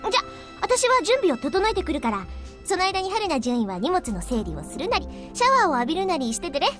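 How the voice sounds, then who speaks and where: A bossy, cheerful anime army woman. Her voice is high and swings widely as she rattles along briskly. Faint music plays behind her. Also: very animated. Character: brisk, bossy, cheerful female military officer in anime game. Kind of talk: Japanese visual novel voice acting.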